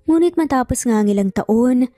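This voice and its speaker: A woman's voice: on the high side, lively intonation, moderate pace, dark tone, dry recording, studio quality. A Filipino woman narrating a dramatic scripted story. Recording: music bed behind the voice. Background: background music.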